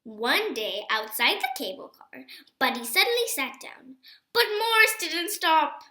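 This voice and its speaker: A woman reading a children's storybook aloud in an expressive, emphatic way with deliberate phrasing. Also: sits high, reverberant. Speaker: reader of a children's book.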